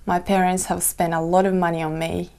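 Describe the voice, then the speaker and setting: A woman's voice: on the low side, flat delivery, fairly quick, a little mellow. A young woman from Japan answering exam questions in simple, careful English with small slips. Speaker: test candidate. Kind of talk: mock speaking exam answers.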